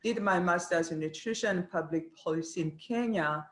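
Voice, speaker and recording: Woman in an echoey room. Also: on the low side. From Rwanda. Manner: earnest, measured, pauses often with "uh". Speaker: dietetics program director, guest. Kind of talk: interview answers.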